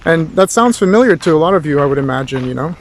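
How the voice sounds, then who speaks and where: Male tutor, fairly high voice, informal lecturing, rambling and reflective, some background noise. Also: lively intonation, quick pace, rough recording. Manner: rambling, thoughtful, with rhetorical questions. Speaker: philosophy tutor.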